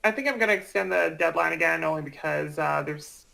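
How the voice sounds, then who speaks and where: A man with a heavily creaky voice chats casually in a group. He talks in a halting way, circling back and repeating phrases as he gets his point out. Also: on the high side, bright, forceful tone, poor audio. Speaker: project organiser.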